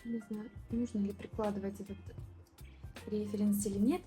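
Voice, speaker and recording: A young woman from southern Moldova talks through her painting process in a loose, rambling way, full of fillers and restarts. Her voice is soft and mellow, and faint music plays underneath. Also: medium pitch, little pitch movement, moderate pace. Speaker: art vlogger. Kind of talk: casual painting vlog voice-over.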